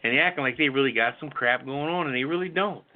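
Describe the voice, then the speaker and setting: A man's voice: medium pitch, fast. A middle-aged man giving an opinionated, informal talk show monologue, blunt and profane, repeating himself for emphasis. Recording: thin phone-like audio.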